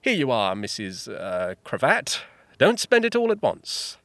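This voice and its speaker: An actor playing a flippant, jovial upper-class 1930s English gentleman full of period slang in an audio drama. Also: mid-range voice, wildly swooping pitch, measured pace, no echo, full character voice. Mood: smug, generous.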